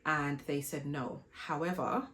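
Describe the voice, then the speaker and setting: A woman, hoarse from a flu, chatting politely as a vlogger telling a shopping story before an unboxing. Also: low voice, steady tone, a little slow, a bit bright. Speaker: fashion and lifestyle vlogger. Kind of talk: casual unboxing vlog chat.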